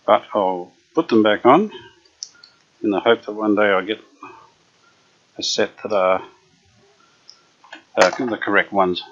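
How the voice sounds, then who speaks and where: A man restoring an old radio, chatting casually as he works, unhurried and full of fillers. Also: on the low side, fairly bright tone. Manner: unhurried, thinking aloud with many fillers. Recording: dull lo-fi workshop recording.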